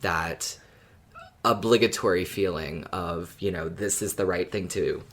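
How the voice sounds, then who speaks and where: A man's voice: deep voice, moderate pace, fairly bright tone. A man co-hosting a podcast in casual chat, speaking in an agreeable, supportive way. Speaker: podcast co-host.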